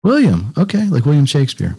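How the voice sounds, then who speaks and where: A man's voice: a little high, wildly swooping pitch, fast talker, warm tone, breathy edge. An older man hosting a podcast interview in an effusive, conversational way, often repeating words for emphasis. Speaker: podcast host.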